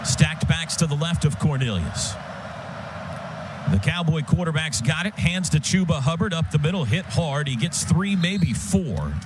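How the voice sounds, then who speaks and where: American male radio play-by-play announcer, fairly high voice, clipped action calls, heavy stadium din behind. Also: expressive, unhurried. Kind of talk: live American football radio commentary. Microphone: broadcast booth microphone. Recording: loud stadium noise behind the voice. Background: loud outdoor stadium ambience.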